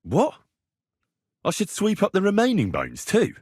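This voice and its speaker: A man's voice: high-pitched, huge pitch swings, measured pace, darkish tone, husky, no echo, studio quality, full character voice. A man voicing a stubborn, cheeky young medieval man in a video game, with blunt, earthy, conversational delivery. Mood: puzzled. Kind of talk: video game character dialogue.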